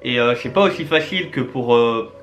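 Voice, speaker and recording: A man's voice: mid-range voice, normal speed, slight background noise. A man in his twenties chatting casually and eagerly to his viewers, with many fillers and restarts. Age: 20s. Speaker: YouTube and Twitch creator. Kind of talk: casual vlog address to viewers.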